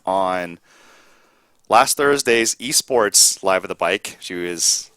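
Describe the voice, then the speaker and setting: A man with a very low voice hosts a casual interview and keeps it light with jokes and quick laughs. His tone has a bright, forceful edge. The sound is dry and close. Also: animated, clean tone, no room sound. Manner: jokey, teasing, laughs often. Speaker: podcast host. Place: studio at a casino.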